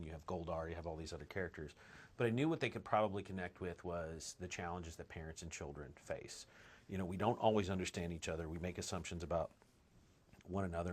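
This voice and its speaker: A man with a low, deep voice speaks in an easygoing interview. He is enthusiastic and often restarts his phrases as he explains his work. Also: quick pace. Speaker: film director, interview guest. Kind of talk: casual interview talk.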